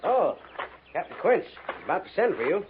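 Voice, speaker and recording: An exacting army major running a frontier fort. He is formal and measured, with a mid-range voice that rises and falls expressively. The sound is narrow and faintly noisy, like a 1950s radio western. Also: sits high, big pitch swings. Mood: officious, stern.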